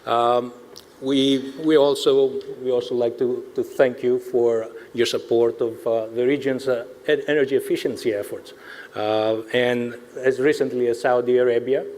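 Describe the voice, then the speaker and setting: A man representing an industry association gives a formal speech of thanks, halting often and hesitating between phrases. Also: mid-range voice, fairly even intonation, darkish tone. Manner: halting, hesitant delivery full of pauses. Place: indoor awards event, addressing an audience.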